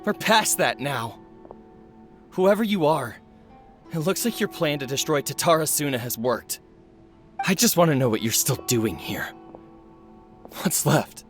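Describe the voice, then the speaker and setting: Righteous game character: wounded, defiant, slow dramatic phrasing, clear music bed behind. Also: male voice, on the high side, lively intonation. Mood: wary, accusing. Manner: emotional, halting, dramatic. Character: righteous, defiant man confronting a villain, earnest and wounded. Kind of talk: video game voice acting. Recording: prominent music under the dialogue.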